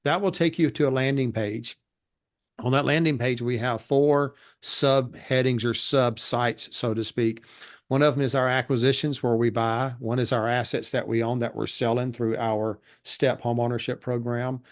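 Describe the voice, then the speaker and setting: Monotone man explaining steadily. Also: medium pitch, moderate pace. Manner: steady explanatory delivery with fillers. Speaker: guest, real estate investor and educator. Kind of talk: casual interview talk.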